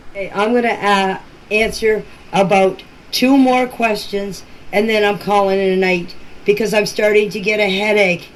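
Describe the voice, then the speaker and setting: A middle-aged or older woman speaking plainly and insistently in informal voice messages, often repeating herself. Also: medium pitch. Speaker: local resident answering questions in a group chat. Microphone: voice messages recorded for a group chat. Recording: noisy amateur voice-message clips.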